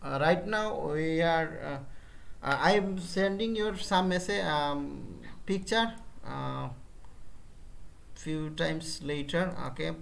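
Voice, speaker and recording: A man's voice: a little high, slow pace, gravelly, fairly bright tone. A man with a Bangladeshi accent, a freelancer whose first language is Bengali, speaking hesitant English with many fillers and restarts on a client video call. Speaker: digital marketing freelancer.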